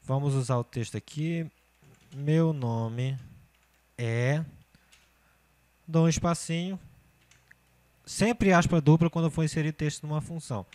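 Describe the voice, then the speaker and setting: A man's voice: on the high side, a little slow, pauses often. A man in his thirties, a computer course teacher, talking through a spreadsheet tutorial step by step and often checking in with the learner. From Brazil. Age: 30s. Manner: steady step-by-step explaining, often checking with "certo?".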